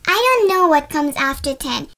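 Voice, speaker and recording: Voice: high voice, moderate pace. An actor voicing a hungry young pet monkey with whiny, childlike pleading in a children's educational cartoon. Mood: sorry. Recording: loud children's music behind.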